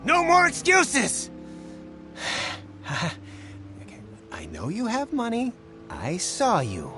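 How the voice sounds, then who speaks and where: An actor playing a brash, greedy small-time swindler boss in a video game, wheedling and blustering. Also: male voice, very high voice, wildly swooping pitch, takes it slowly, pushed and bright, soft background music, exaggerated voice. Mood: pushy, exasperated. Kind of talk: video game character acting.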